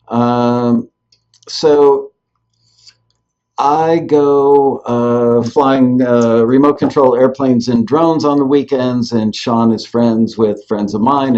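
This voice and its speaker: A man with a mid-pitched voice hosts a live interview show. He chats casually and jokes as he rambles along. The audio is slightly dull and lo-fi, like a web stream. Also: a little mellow.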